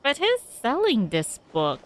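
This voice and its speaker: A woman's voice: a little high, wildly swooping pitch, measured pace. A woman giving casual gameplay commentary, hesitant and often repeating her phrases as she thinks aloud. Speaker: game streamer.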